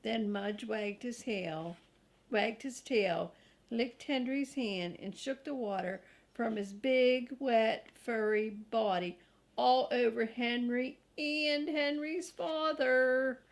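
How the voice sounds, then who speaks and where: An older woman reading a children's storybook aloud in an expressive, grandmotherly way, drawing out key words and adding chatty asides for young listeners. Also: on the high side, slow.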